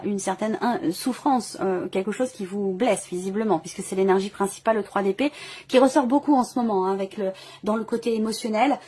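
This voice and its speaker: A woman's voice: medium pitch, a little mellow, breathy edge. A woman giving an improvised tarot reading as host, feeling her way through phrases and often rewording as she goes. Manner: hesitant, searching phrasing with repeated rewording. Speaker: tarot reader hosting a video reading. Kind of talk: intuitive tarot reading, improvised spoken commentary.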